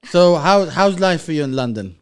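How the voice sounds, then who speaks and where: A man's voice: a little high, expressive, normal speed, smooth. A man hosting a casual interview, speaking informally and encouragingly, often echoing what he hears. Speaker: podcast host interviewer.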